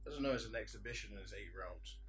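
A man's voice: lowish voice, fast talker, a little hiss or hum. A man in casual podcast banter with a laid-back, wry way of talking. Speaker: podcast co-host.

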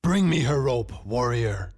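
Guy doing a big fierce tiger king for a storybook, commanding n indignant, voice pushed up and loud. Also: a little slow, gravelly. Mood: stern, wrathful. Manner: imperious, booming rhetorical questioning.

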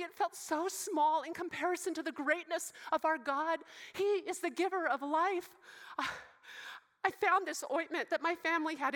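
A devoted, deeply moved young woman from scripture, performed by a woman. Her voice sits high and tender, and she falters and falls into hushed whispers as she speaks. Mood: tearful, devoted. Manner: emotional, halting, drops to soft whispers. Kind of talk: dramatic monologue in character.

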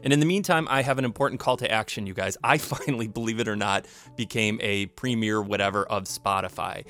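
A man's voice: fairly low pitch, a little fast, fairly bright tone. A man hosting a children's comedy podcast, moving between storytelling and casual chat.

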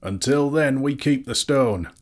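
A man's voice: on the low side, voice pushed up. Man playing a stern leader, voice raised. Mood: resolute. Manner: firm, measured, resolute. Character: stern, upright male human leader, firm negotiator. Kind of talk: dramatic storybook reading.

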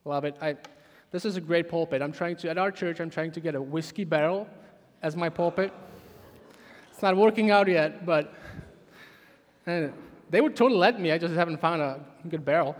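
Man, Czech accent, high voice, preaching, long echoing hall. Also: normal speed. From Czech Republic. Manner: animated, emphatic preaching with many restarts. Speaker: pastor. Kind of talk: sermon.

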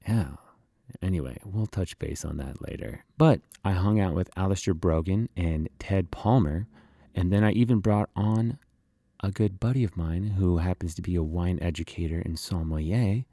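A man's voice: sits low, moderate pace, gravelly, dark tone, slightly rough, no echo. A man hosting a podcast in a casual, conversational style. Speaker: podcast host.

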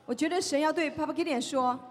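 A woman's voice: sits high, quick pace. A woman interpreting a preacher's prayer in a church, one phrase at a time. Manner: follows each phrase closely. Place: church hall. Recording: long hall reverb.

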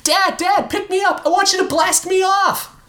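A man voicing a hyper excited little boy who shouts everything in a shrill, overjoyed voice. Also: moderate pace.

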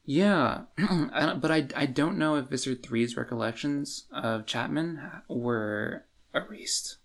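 A man co-hosting a podcast, talking casually and haltingly in a book discussion. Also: medium pitch. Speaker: podcast co-host.

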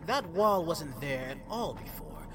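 Treacherous military officer male actor, audio drama: curt orders, cold cunning, animated pitch range, scored with music. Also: unhurried. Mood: impatient, dismissive. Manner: curt, commanding.

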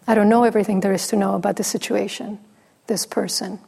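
Female dharma teacher, soft and pausing, a bit of a creaky edge. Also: mid-range voice, steady tone. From Mexico. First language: Spanish. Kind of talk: dharma talk with storytelling.

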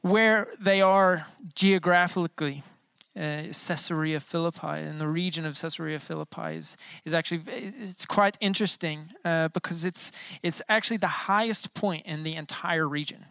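A man's voice: a little high, normal speed, vocal fry, pushed and bright, clean tone, no echo. A man in his thirties, a pastor giving a casual, joking sermon with many filler sounds. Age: 30s. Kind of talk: informal bilingual sermon.

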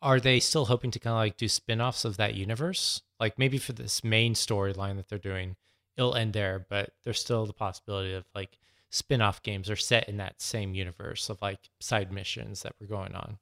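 A man's voice: on the low side, steady tone, moderate pace, a bit bright, no room sound, clean studio sound. A man chatting casually as a podcast co-host. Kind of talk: casual chat.

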